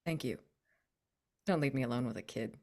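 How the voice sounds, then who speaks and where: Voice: medium pitch, normal speed, creaky, a little mellow. A tabletop game player improvising casual banter in character, awkward, hesitant and wry.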